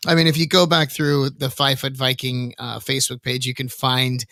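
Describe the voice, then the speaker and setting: A man's voice: little pitch movement, fairly quick, sharp tone, no echo, clean studio sound. A man with a tenor voice hosting a podcast, chatting informally and repeating phrases for emphasis. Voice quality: self-described high tenor voice. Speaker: podcast host. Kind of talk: casual podcast chat about own experiences.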